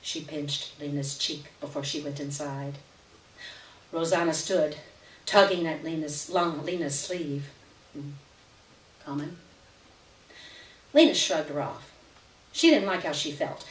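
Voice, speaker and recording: A woman's voice: low voice, animated, measured pace. A woman giving a live dramatic reading of literary fiction as the story's narrator. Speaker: story reader. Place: live reading event before an audience. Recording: roomy, distant, hissy live recording.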